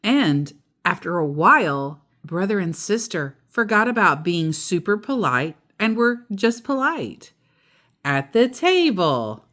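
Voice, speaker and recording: Animated, unhurried woman reading a storybook. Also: fairly low pitch. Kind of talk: read-aloud storybook narration with casual asides.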